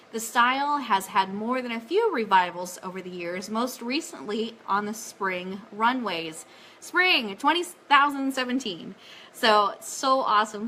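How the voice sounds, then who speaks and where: A woman with a high, bright voice hosts a casual live fan show. She is chatty and enthusiastic, switching easily into reading announcements. There is some noise behind her on the stream. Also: low-quality recording. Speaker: host of an Elvis fan radio show.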